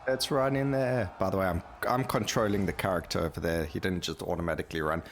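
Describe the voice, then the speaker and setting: A man's voice: deep voice, steady tone, fast talker, a little hiss or hum. A man giving casual gameplay commentary as a video creator, talking over game audio. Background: game music.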